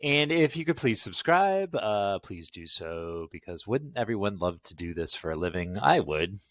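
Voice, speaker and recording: A man's voice: lowish voice, big pitch swings, moderate pace, fairly bright tone, no room sound, studio quality. A man casually rambling through a product demo as a video host, talking to himself and joking at his own expense in a goofy way. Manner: goofy, self-deprecating joking; talks to himself while working. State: tired.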